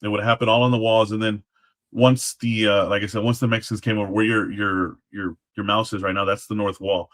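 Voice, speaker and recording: A man speaking as an interview guest, explaining history casually in halting phrases with trailing asides. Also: lowish voice, level tone, a bit bright. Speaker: guest, former Alamo guard.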